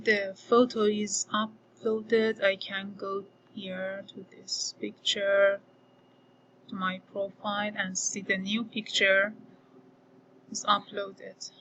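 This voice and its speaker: Farsi-speaking woman instructor, screen tutorial, unhurried, bright tone, lots of "uh"s. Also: mid-range voice, little pitch movement, slight background noise, low-quality recording. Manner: unhurried, thinking aloud with frequent fillers. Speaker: online course instructor. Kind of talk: screen-recorded tutorial walkthrough.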